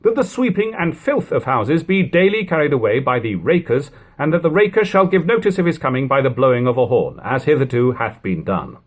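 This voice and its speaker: A man reading an old book aloud in a measured, formal manner, in a lo-fi home recording. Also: medium pitch, sing-song. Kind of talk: audiobook reading of an old text with brief informal asides.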